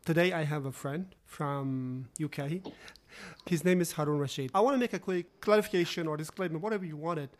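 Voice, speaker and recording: A man hosting his podcast, welcoming a guest with loose, informal phrasing and quick questions. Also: fairly high pitch. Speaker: podcast host. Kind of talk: casual interview hosting.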